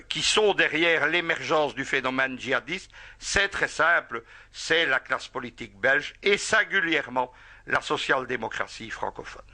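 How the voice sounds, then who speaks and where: Bright-voiced man commenting on location. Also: a little high. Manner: hesitant with frequent filler sounds. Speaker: political commentator. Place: outdoors by an industrial site.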